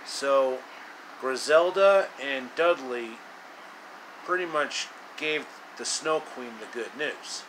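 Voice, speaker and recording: A man retelling a fairy tale in his own loose way, with halting, drawn-out delivery and casual asides. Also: medium pitch, noisy background. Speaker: storyteller.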